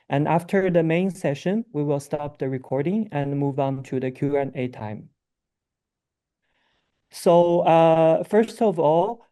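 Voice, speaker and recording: Moderator opening an online session, formal and steady, mellow mid voice. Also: medium pitch. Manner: formal, announcing.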